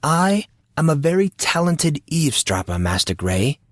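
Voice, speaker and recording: A man voicing a genteel, wry butler who speaks formally and with deadpan calm. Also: a little high, normal speed, no room sound, studio quality, voice pushed up. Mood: deadpan politeness. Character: genteel male butler, polite, wry and unflappable.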